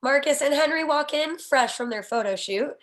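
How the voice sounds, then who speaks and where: A woman's voice: high-pitched, normal speed, very noisy. A woman reading scripted screenplay stage directions as narrator at a table read.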